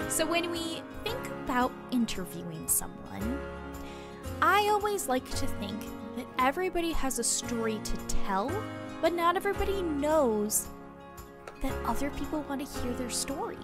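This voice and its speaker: A friendly small-town newswoman, played by a woman in a tabletop roleplaying game. She speaks in a high voice and explains things in a chatty, helpful way, with faint music behind her. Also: big pitch swings, normal speed. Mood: earnest, instructive. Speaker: game master.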